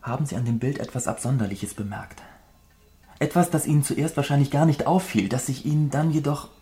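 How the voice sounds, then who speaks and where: An actor in a German radio drama playing an earnest, adoring painter who is troubled and wistful and speaks in formal, confessional phrases. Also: male voice, mid-range voice, fairly quick, mellow, close to an everyday voice. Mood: grave, urgent.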